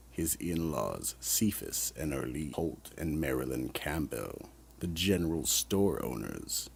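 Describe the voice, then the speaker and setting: A man narrating a radio mystery drama in a deliberate, ominous storytelling manner. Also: extremely low pitch, creaky, slightly rough.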